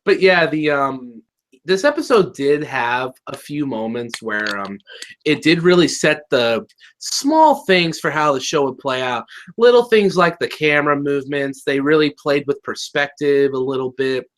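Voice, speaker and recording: A man's voice: a little high, measured pace. A man co-hosting a casual review chat over a webcam, joking and ranting, often restarting his sentences. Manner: excitable, joking, trails off and restarts. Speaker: co-host of a review video. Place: indoor room.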